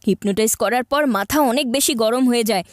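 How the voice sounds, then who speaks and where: A woman voicing an excitable, scheming young boy, a bossy older brother, in a cartoon comedy. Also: fairly high pitch, fast talker, a little hiss or hum, lightly acted. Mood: eager, exasperated. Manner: animated, stammers when flustered. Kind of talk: cartoon dubbing in Bengali comedy.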